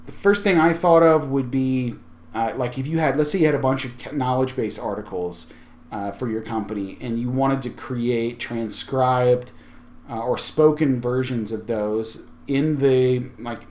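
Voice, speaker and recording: A man with a mid-pitched voice hosts a casual tech walkthrough. He talks conversationally and thinks aloud while explaining each step. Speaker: tech tutorial host. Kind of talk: casual screen-share tutorial.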